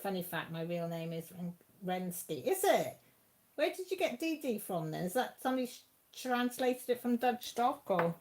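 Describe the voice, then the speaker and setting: A woman's voice: mid-range voice, sing-song, normal speed, darkish tone. Chatty Welsh woman with a Radnorshire accent. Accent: Mid Wales (Radnorshire). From Wales. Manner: chatty, homely, laughs often. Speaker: YouTube channel host. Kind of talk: casual live-stream chat, reading comments.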